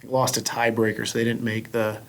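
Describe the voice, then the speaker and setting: A man co-hosting a casual sports talk show, chatty and conversational, with quick asides and lots of "like" and "you know". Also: medium pitch, completely flat delivery, creaky. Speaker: podcast co-host.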